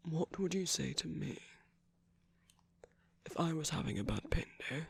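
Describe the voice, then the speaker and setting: A performer voicing a commanding yet tender, possessive villain in an ASMR roleplay, speaking deliberately in a husky voice that often drops to a whisper. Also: medium pitch, lively intonation, exaggerated voice. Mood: concerned, chiding. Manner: slow, deliberate, coaxing, with long pauses. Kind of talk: ASMR roleplay, scripted intimate monologue.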